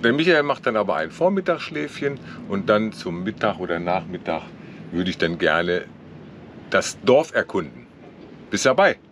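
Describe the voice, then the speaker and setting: A man's voice: lowish voice, sing-song, moderate pace, a bit bright. A middle-aged man casually narrating a travel vlog into a handheld camera outdoors. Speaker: sailing vlogger. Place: outdoors, boat deck and shore. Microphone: handheld camera at arm's length. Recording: noisy outdoor camera audio.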